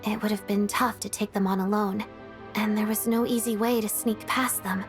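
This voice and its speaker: A woman's voice: medium pitch, fairly even intonation, normal speed, faint music behind, natural voice. A woman playing a composed, caring young woman in a fantasy video game. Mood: matter-of-fact.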